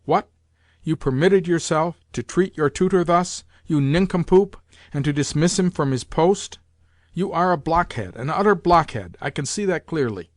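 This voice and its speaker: A man's voice: fairly high pitch, normal speed, no room sound, clean studio sound, natural voice. A man reading a novel aloud, voicing an imperious old grandmother who bluntly scolds and gives orders. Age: older. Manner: commanding and blunt, hurling insults. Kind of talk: audiobook character voice.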